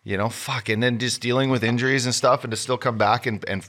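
A man's voice: medium pitch, fairly even intonation, fast talker, a bit bright. A man co-hosting a hockey podcast, talking earnestly in casual chat. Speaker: podcast co-host.

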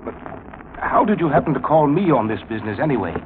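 An actor playing a reluctant middle-aged former attorney in a 1940s radio mystery, uneasy, halting and grumbling. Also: male voice, medium pitch, sing-song, lightly acted. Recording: old 1940s radio broadcast, tinny, noisy.

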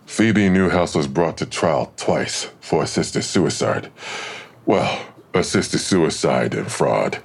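An actor playing a probing, suspicious police detective in an audio drama, with a gravelly voice and a dry delivery. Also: male voice, very deep voice, animated, takes it slowly, exaggerated voice. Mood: dry, insistent. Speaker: actor in a fiction podcast. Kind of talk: naturalistic audio drama acting.

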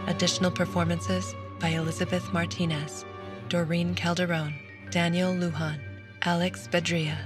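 A woman reading scripted credits as an announcer, in a measured, formal way. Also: fairly low pitch. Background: music.

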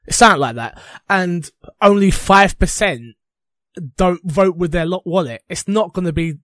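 A man's voice: sits high, normal speed, fairly bright tone, no echo, clean studio sound. A man chatting casually on a podcast panel in a blunt, informal, argumentative way. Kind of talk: casual chat.

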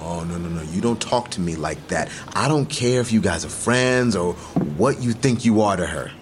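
A possessive, indignant suitor in an audio drama. His low voice is emphatic and confrontational, with faint background noise. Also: lively intonation, moderate pace. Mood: jealous anger.

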